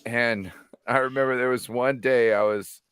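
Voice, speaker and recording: A man from California shooting the breeze as host of a casual online show, profane and rambling, easy middle-pitched voice. he sounds close and dry, with no room around him. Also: steady tone, measured pace, fairly bright tone, smooth, no echo. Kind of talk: casual chat.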